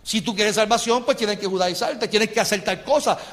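High-voiced Puerto Rican preacher. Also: male voice, moderate pace, fairly bright tone, long reverb tail. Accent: Puerto Rican Spanish. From Puerto Rico. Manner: impassioned, calls the congregation for amens. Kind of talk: sermon. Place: church sanctuary.

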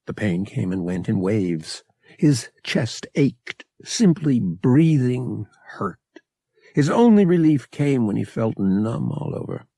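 A man narrating fiction as an audiobook reader, dramatic and expressive with measured phrasing. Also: on the low side, vocal fry, husky, dry recording.

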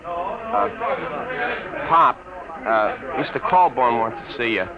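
Hesitant, lively-pitched young newsroom assistant. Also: male voice, slow pace, a loud crowd, poor audio. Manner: hesitant, deferential. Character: timid, eager young male newsroom errand boy. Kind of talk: old-time radio drama acting.

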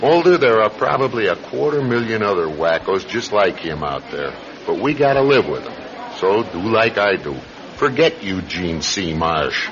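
A weary New cop with a lowish voice. He is gruff, dismissive and sardonic, speaking in street-wise radio drama style on a slightly muffled recording. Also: big pitch swings, normal speed, a crowd in the background. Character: weary, dismissive New York police sergeant.

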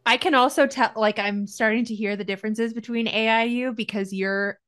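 A woman co-hosting a casual chat, skeptical and quick to laugh. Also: on the high side, steady tone, fairly bright tone, clear, resonant tone.

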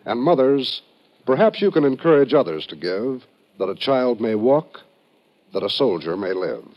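An American man announcing on 1950s radio in a scripted, solemn, measured style, on a muffled vintage recording. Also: medium pitch, very animated.

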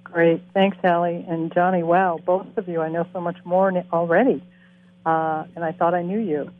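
A woman in her sixties from Boston speaks earnestly and directly, her voice sitting low. The sound is dry and thin, carried over a phone line. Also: steady tone, moderate pace, no room sound. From Boston, USA. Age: 60s. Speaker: coach educator. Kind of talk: panel self-introduction. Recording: tinny phone-line audio.

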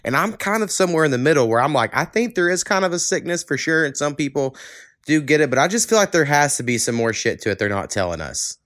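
A man's voice: on the high side, very animated, fast, a bit bright, dry recording, studio quality. Laid-back American man hosting a podcast. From Oklahoma, United States. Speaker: podcast host. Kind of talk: casual podcast chat.

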